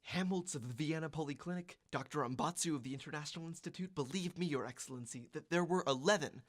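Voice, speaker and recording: An actor playing a deferential, fearful doctor in a radio drama, speaking hesitantly and apologetically. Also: male voice, a little high, fast talker, close to an everyday voice. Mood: fearful, regretful. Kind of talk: old-time radio drama acting.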